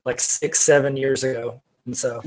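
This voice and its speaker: A man's voice: mid-range voice, level tone, moderate pace, a little mellow, low-quality recording. A man co-hosting a podcast, chatting casually and jokingly with friends. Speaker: podcast co-host running a game segment.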